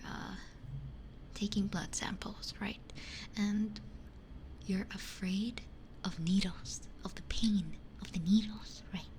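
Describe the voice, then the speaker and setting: A woman speaks in a breathy, intimate whisper right up at a binaural mic, with faint noise behind her. Her pitch sits in the middle for a woman. She chats casually and unhurriedly, musing in short, broken phrases like an ASMR streamer. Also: a little mellow. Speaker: ASMR livestreamer. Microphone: close binaural ASMR microphone. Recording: close-miked with faint background noise.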